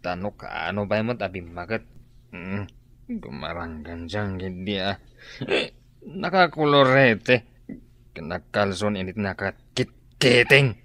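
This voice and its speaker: A man's voice: sits low, animated, takes it slowly, a bit bright, no room sound, exaggerated voice. A man playing a hapless, idle, grumbling father in a radio drama, muttering and grunting between phrases. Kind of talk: Ilocano radio drama acting.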